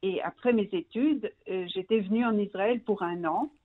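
A woman's voice: medium pitch, normal speed. A middle-aged woman from Belgium being interviewed as a guest, searching for words and restarting her phrases. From Brussels, Belgium. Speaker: magazine founder, interview guest. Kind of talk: radio interview by phone. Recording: thin, narrow phone line.

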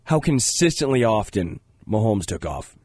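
A man's voice: on the low side, big pitch swings, normal speed, darkish tone, slightly rough, no room sound. A male sports radio host, congested, talking earnestly with a lot of fillers. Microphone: studio microphone.

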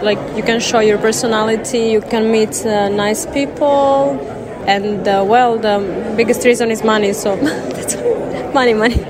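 A woman's voice: on the high side, fairly even intonation, unhurried. A woman giving a casual vox pop answer as a job seeker. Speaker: job seeker interviewed at recruitment event. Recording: very loud background noise.

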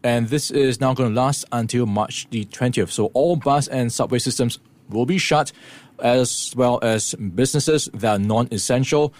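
A man presenting a morning business news briefing, explaining the week's events in a steady way with occasional fillers. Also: medium pitch, normal speed. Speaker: radio presenter.

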